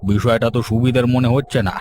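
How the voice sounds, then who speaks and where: Guy as a fussy village husband, farmer, wary, normal pitch, cartoon w/ music. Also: fairly even intonation, brisk, noisy background, natural voice. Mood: suspicious. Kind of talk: Bengali cartoon voice acting.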